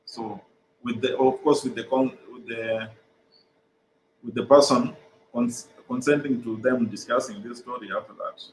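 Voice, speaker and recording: A young Kenyan man whose first language is Swahili, earnestly explaining his organization's programs over a video call, with frequent "uh" pauses. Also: mid-range voice, fairly even intonation, roomy sound, a little hiss or hum, low-quality recording. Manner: earnest, many "uh" pauses, repeats phrases. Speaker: guest, mental health organization co-founder.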